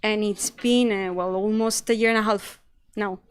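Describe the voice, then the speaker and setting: A woman's voice: medium pitch, steady tone, normal speed, smooth. A young woman from Spain with a Spanish accent, telling students about her first job in a chatty, self-deprecating way. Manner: chatty and self-deprecating, acts out her own past thoughts. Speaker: panel speaker, investigative journalist. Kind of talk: casual panel talk to students.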